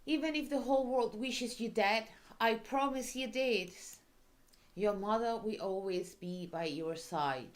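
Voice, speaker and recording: A woman's voice: on the high side, unhurried, voice pushed up, close to an everyday voice. A woman voicing a possessive, cruel mother in a visual novel, cloying and manipulative. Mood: soothing, possessive.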